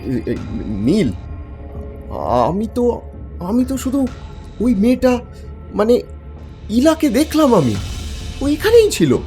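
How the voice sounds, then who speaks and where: A frightened young man in a horror audio drama. His voice is high and swings sharply as he stammers and repeats himself, pleading, with soft music underneath. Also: wildly swooping pitch, takes it slowly, long pauses, exaggerated voice. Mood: flustered, stammering. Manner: stammering, hesitant, repeating words.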